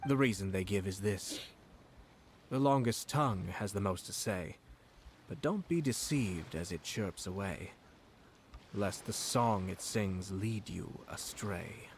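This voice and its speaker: A poised noble commissioner from a video game, voiced by a man, speaking in a lowish voice. His speech is measured and courteous, with a quietly sardonic edge. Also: a little hiss or hum, natural voice. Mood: calm, cutting. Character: composed noble male commissioner, politely cutting. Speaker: game character.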